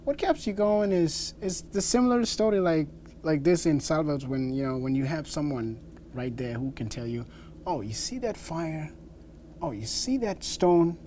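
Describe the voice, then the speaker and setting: Young man, South Sudanese Dinka accent, dark mellow tone, dialect coach, faint ambient noise. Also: on the high side, animated, normal speed. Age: young adult. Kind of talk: spoken recollection and line coaching. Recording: clear background noise, ambience.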